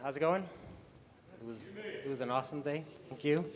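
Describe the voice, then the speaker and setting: A man's voice: mid-range voice, fairly quick, faint music behind. A man with a Spanish accent, whose first language is Spanish, gives a loose, chatty stage talk, often restarting his sentences. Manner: loose, chatty, self-correcting. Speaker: tour company head giving a talk. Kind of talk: stage presentation. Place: hall with audience. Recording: reverberant hall.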